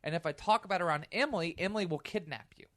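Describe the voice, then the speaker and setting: A woman's voice: lowish voice, racing, no echo. A woman chatting casually on a comedy podcast, blunt and sweary, given to wild exaggeration.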